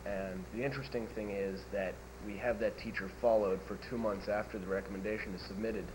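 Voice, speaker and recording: A young man plays an admissions officer who delivers absurd answers in a deadpan, matter-of-fact tone. His voice sits on the low side. It comes through a hissy, noisy 1980s school videotape. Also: fairly quick, natural voice. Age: teens. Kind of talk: improvised school TV sketch.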